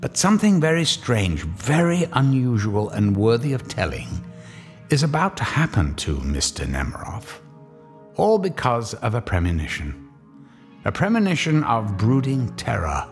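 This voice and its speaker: A man's voice: mid-range voice, sing-song, unhurried, soft background music. A man giving ominous, theatrical horror narration for a radio drama.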